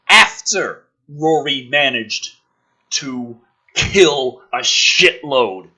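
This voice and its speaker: Casting: male review-show host, husky and punchy, informal and sardonic, comfortable swearing and reading scripts dramatically. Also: on the high side, pushed and bright, poor audio. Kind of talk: ranting commentary mixed with dramatic script reading.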